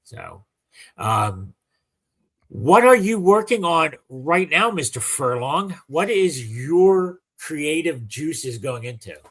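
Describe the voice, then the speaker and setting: A man's voice: on the high side, very animated, takes it slowly, a bit bright, breathy edge. A man hosting a podcast, chatting casually and asking his guest curious, joking questions. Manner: curious, asks questions, jokes. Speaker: podcast host. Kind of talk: casual interview chat.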